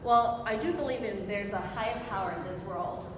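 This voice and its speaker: A woman in her forties from Hong Kong giving a motivational speech, telling a personal story and putting questions to her audience. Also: a little fast. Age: 40s. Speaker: motivational speaker. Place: large echoing room before an audience. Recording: very echoey hall with clear noise and rumble.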